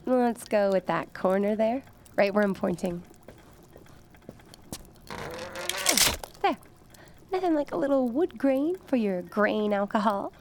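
An actress playing a soothing, whimsical woman in an audio drama, unhurried and gently teasing. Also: medium pitch, animated, slight background noise, lightly acted. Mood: soothing, playful.

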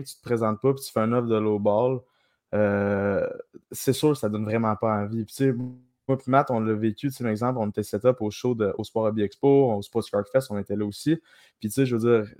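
A man's voice: medium pitch, darkish tone, dry recording. Hesitant Québécois man, dry mic. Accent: Québécois French. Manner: hesitant, many fillers and restarts. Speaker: guest, card dealer. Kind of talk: casual chat.

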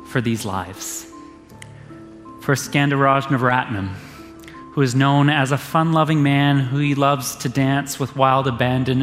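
A man, a pastor, giving a solemn, measured memorial eulogy in a church sanctuary. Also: mid-range voice. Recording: reverberant church hall with faint music.

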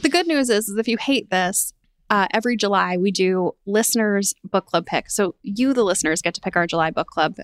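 A woman hosting a book podcast while traveling, talking eagerly in quick run-on sentences with fillers. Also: mid-range voice, dry recording, clean studio sound. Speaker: podcast host. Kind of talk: casual book chat. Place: temporary room while traveling.

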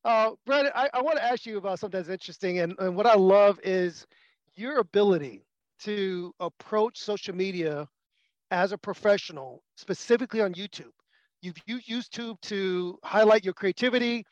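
A man's voice: sits high, moderate pace, dry recording, lo-fi sound. A man co-hosting a podcast, responding to his guest in a reflective, affirming way. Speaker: podcast co-host. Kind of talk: casual interview chat.

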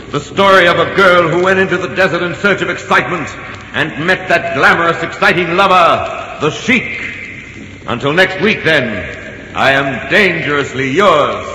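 An actor voicing Adventure personified as a seductive, intense radio host, narrating theatrically in 1940s style with long reverb. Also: male voice, a little high, normal speed, pushed and bright. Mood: alluring intrigue. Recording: echoing hall-like reverb on noisy old radio.

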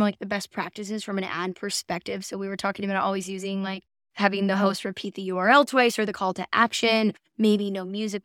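Female host, mid pitch, clean resonant tone, relaxed conversational hosting style. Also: clear, resonant tone, no echo, studio quality. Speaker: podcast host. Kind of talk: casual chat, guiding discussion.

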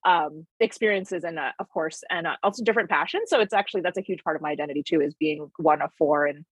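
A woman's voice: sits low, quick pace, husky, dry recording. A woman in her forties from Connecticut, talking as an interview guest in a reflective way, with frequent fillers and light laughs. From Connecticut, USA. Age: 40s. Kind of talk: conversational interview.

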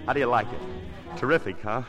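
A man's voice: mid-range voice, a little fast, close to an everyday voice. A man playing a breezy, cocky, good-natured stage performer in a 1950s radio drama. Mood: breezy, teasing. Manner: informal, easygoing, teasing. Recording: vintage radio broadcast with music behind.

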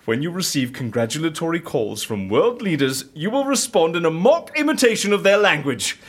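An actor playing a gleeful, eccentric schemer in an audio comedy, theatrical, bossy and relishing every word. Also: male voice, fairly high pitch, sing-song, a little fast, husky. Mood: gleeful, commanding. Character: gleeful eccentric male schemer plotting absurd political stunts. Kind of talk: audio comedy drama acting.

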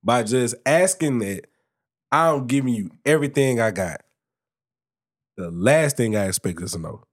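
A man co-hosting a casual podcast, talking at length in an ordinary mid-pitched voice. He builds his argument with lots of restarts and repeated words. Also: expressive, a little slow. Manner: talkative, explains at length with many restarts. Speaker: podcast co-host.

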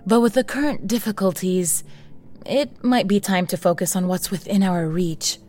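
An actress playing a steady, resolute leader with a measured, encouraging manner in an audio drama. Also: female voice, mid-range voice, faint music behind, lightly acted. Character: steady, resolute female leader rallying her people. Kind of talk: audio drama acting, naturalistic dialogue.

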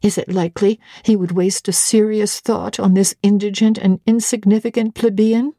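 A woman's voice: medium pitch, steady tone, moderate pace, mellow, no echo, clean studio sound, close to an everyday voice. A woman playing a young governess who sternly scolds and commands herself in a dramatic literary monologue. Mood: sharp, stern. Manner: severe, commanding, scornful.

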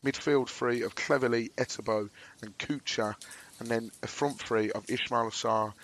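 A hoarse man co-hosting a casual football podcast and running through team news. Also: mid-range voice, fairly even intonation, normal speed, a bit bright, a little hiss or hum, low-quality recording. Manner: laid-back, self-deprecating. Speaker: podcast co-host.

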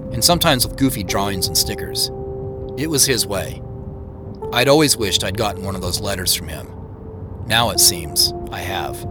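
Low-pitched actor as a thoughtful man, measured and intimate, first-person audio drama narration, music underneath. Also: close to an everyday voice. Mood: reflective. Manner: measured, reflective, intimate storytelling. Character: Joseph, thoughtful, nervous man retracing family memories. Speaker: narrator and lead character.

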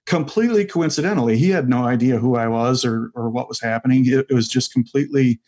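A man's voice: mid-range voice, quick pace, a little mellow. Man in his forties, comedian guest, casual interview chat, rambling with ums and restarts. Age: 40s. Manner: rambling, many fillers and restarts.

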